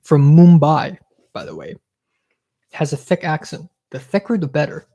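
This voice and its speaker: A man's voice: a little high, moderate pace, mellow. A young man from Hong Kong with an American accent, chatting casually on a livestream and answering viewer questions with blunt, self-mocking remarks.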